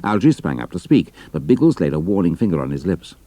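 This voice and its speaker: A British man narrates an adventure story in a very deep voice. His pitch moves widely and expressively over a dark, mellow tone, recorded very dry. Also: big pitch swings, quick pace, no room sound.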